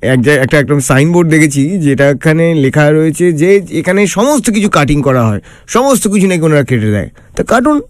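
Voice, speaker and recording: Fast, high-pitched man prankster. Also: animated. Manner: teasing, mock-innocent. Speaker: radio host and prank caller. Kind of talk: radio prank call.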